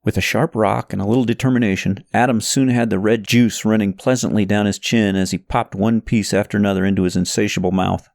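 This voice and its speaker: A man reading a novel aloud as scripted narration, telling the story in an expressive, dramatic way. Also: fairly low pitch, a little fast, vocal fry, few pauses, dry recording, clean studio sound.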